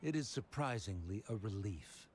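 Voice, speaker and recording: A man's voice: on the low side, animated, normal speed, a little mellow, close to an everyday voice. A man playing a haughty, disapproving father in a game, with a pompous, formal, cool way of talking. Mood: disdainful, grudging. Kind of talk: scripted game dialogue acting. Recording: faint background noise.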